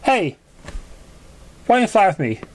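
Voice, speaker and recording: A man doing an eager, high-pitched little girl pony. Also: sing-song, measured pace, exaggerated voice. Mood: excited, eager. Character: eager young girl pony, sweet and playful.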